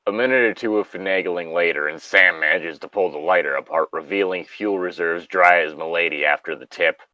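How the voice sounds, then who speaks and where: A man's voice: low voice, fairly quick, bright, forceful tone, no echo, muffled recording. A man reading a comic story casually, breaking off into jokey asides and banter. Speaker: co-reader of an internet story.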